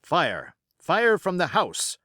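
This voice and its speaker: A man voicing a brisk, decisive doctor in an adventure storybook, speaking emphatically. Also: sits high, wildly swooping pitch, bright, forceful tone, no room sound, studio quality, voice pushed up, full character voice. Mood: urgent.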